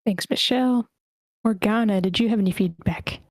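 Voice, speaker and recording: A woman's voice: mid-range voice, normal speed, a little mellow, dry recording, clean studio sound. A woman casually moderating a group feedback meeting as its host. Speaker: host and moderator of a script table read.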